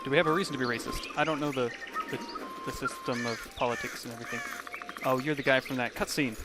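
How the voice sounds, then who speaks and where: A man's voice: mid-range voice, animated, quick pace, over loud music. A man from Canada giving casual, wry, hesitant commentary as he plays a video game. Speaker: gaming channel host. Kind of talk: casual let's play commentary. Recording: noisy, game sound behind. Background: video game audio.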